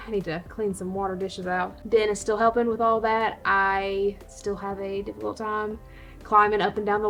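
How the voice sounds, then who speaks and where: Woman, vlog host, slightly hoarse, breathy pauses, casual unscripted talk, faint music behind. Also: mid-range voice. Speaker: reptile channel host. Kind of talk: casual vlog update to viewers.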